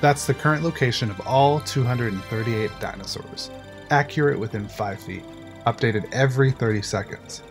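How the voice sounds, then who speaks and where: A man's voice: medium pitch, over music, natural voice. A man voicing a blunt, confident male park engineer explaining the control room in an audio drama. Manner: brisk, matter-of-fact, a little cursing. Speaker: voice actor. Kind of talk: fan audio drama acting.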